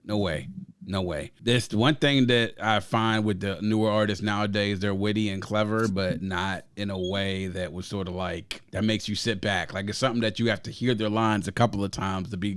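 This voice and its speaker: A man's voice: lowish voice, fairly bright tone. A man from Grenada hosting a casual podcast review of an album, talking enthusiastically and at length, often adding "you know what I'm saying". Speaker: podcast host. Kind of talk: casual music review chat.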